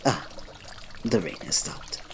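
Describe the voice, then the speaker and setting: A man playing a reserved, devoted royal servant in an ASMR roleplay, speaking formally and politely with quiet fondness and restraint. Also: slow pace, a little hiss or hum, lightly acted. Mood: gently eager. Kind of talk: ASMR roleplay, scripted drama.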